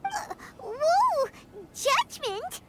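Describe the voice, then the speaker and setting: Squeaky, childlike fairy sidekick. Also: female voice, big pitch swings, extremely slow, very noisy, heavily acted. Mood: nervous. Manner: exclamatory, dramatic. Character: tiny excitable female floating fairy companion. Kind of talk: video game voice acting.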